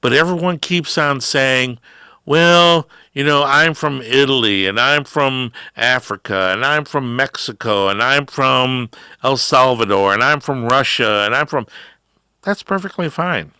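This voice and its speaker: A middle-aged man hosting a talk show, delivering a rambling opinion monologue with drawn-out words and emphatic rises. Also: mid-range voice, sharp tone, clear, resonant tone, no echo, clean studio sound. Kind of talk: ranting political talk.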